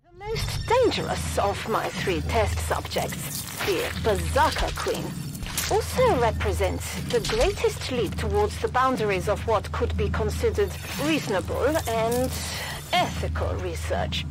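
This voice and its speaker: An actress playing a cool, analytical scientist dictating research notes in a formal, precise manner. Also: female voice, on the high side, sing-song, measured pace, over music, low-quality recording. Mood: clinical, reflective. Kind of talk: scripted game narration.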